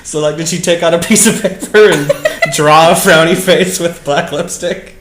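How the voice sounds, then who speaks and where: A man's voice: on the high side, fairly even intonation, normal speed, echoey room, noisy background. A man giving a mocking dramatic reading with casual, amused, sarcastic commentary. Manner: amused, sarcastic, often breaking into giggles. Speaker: commentary show host.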